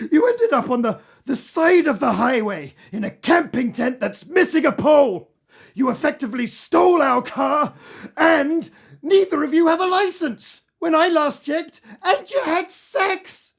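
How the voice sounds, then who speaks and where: A woman's voice: high-pitched, animated, a little slow, creaky, exaggerated voice. A woman acting in an audio play as a strict, indignant middle-aged mother, scolding and sarcastic. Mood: furious. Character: strict, indignant mother of a runaway teenage daughter.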